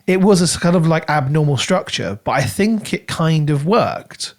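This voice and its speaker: A man with a slightly high voice talks as a podcast co-host. He reviews a book conversationally, circling and repeating words while he works toward his point. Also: big pitch swings, moderate pace. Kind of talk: casual conversational book discussion.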